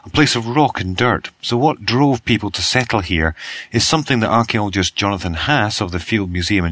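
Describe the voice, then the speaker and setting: A British man hosting a news podcast, reading the bulletin in a croaky voice from a cold and spelling out web addresses slowly. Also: on the low side, sharp tone, no room sound. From Britain.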